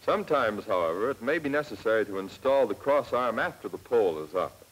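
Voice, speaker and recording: An American man narrates a 1940s army training film with a lowish, rough-edged voice. He instructs step by step in a plain, measured way. The sound is that of an old film soundtrack. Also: animated, rough recording. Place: scripted voice-over for film.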